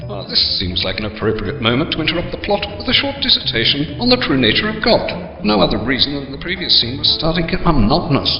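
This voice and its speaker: A man's voice: a little high, very animated, quick pace, far from the mic. A man reading scripted comic narration in a wry, mock-formal manner. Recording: dull, echoey, reverberant audio with faint music.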